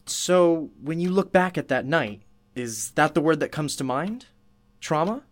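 A man's voice: a little high, unhurried, close to an everyday voice. A man playing a chatty, courteous radio show host interviewing a witness in a radio play, effusive and apologetic. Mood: curious, courteous.

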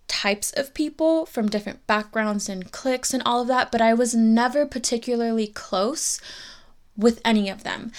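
A woman in her twenties hosting a podcast, telling a personal story in a candid, reflective way and speaking to her listeners directly. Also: on the high side. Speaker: podcast host.

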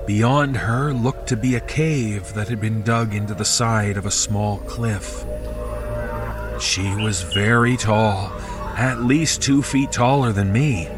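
A man reading a scripted first-person horror story in a measured, dramatic storytelling manner. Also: on the low side. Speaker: narrator of horror fiction. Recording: music behind the voice. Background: music.

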